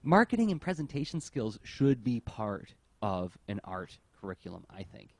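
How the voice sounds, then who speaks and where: A man hosting a casual podcast discussion, speaking in an animated, teasing way into a close microphone. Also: on the low side, moderate pace, crowd noise. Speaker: podcast host.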